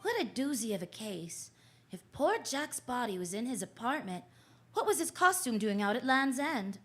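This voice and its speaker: A woman acts a sassy, unflappable private eye in a radio mystery. Her fairly high voice is wry and breezy, with slangy wisecracking delivery. Music plays clearly behind her. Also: very animated, normal speed. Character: sassy, unflappable female private detective narrating her case. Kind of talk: noir radio drama narration and acting.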